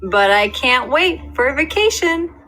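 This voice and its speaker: A fond, wistful schoolteacher at the end of the school year, voiced by a woman reading a storybook. Her tone is gentle and bright, her pacing slow, and her pitch rises and falls expressively. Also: sing-song, soft background music, heavily acted, low-quality recording.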